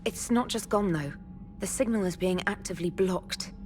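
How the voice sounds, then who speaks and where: A woman's voice: lowish voice, moderate pace, a little mellow, close to an everyday voice. A woman playing an earnest communications specialist in a science fiction game, hesitant yet precise. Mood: tentative, explaining. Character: earnest, tentative young female communications specialist. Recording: music behind the voice.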